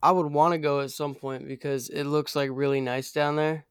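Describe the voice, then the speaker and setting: A young male podcast host interviewing a guest, hesitant and filling pauses as he thinks. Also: fairly high pitch, level tone, clear, resonant tone, dry recording. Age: young, teen or younger. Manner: hesitant, many fillers and restarts.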